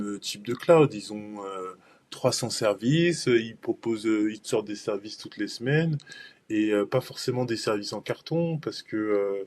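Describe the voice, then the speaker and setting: A man's voice: fairly low pitch, a little mellow. A man presenting a cloud benchmarking webinar in a casual, rambling way, full of fillers and restarts.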